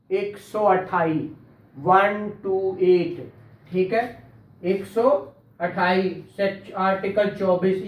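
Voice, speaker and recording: Male law lecturer, Pakistani Punjabi accent, interactive and questioning; recorded at a distance in an echoey room. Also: high voice. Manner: conversational, questioning, acts out courtroom exchanges. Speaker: law teacher. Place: indoor classroom.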